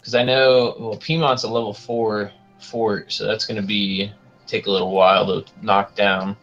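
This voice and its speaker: A man playing a strategy game online, thinking aloud in a relaxed way. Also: lowish voice, moderate pace, highs cut off, soft background music. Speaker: fellow player. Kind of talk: casual multiplayer voice chat.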